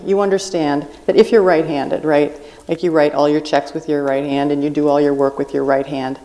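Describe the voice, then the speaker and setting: A woman guest speaks at a live podcast taping on a festival stage. Her voice is low and mellow. She explains her point earnestly through an extended metaphor. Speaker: guest writer.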